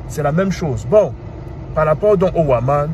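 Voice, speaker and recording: Man, thirties, Cameroonian French accent, animated, with street traffic heard clearly behind. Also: on the high side, normal speed, dull audio. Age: 30s. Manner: animated, asks rhetorical questions, repeats "hein". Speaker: commentator. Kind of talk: casual monologue.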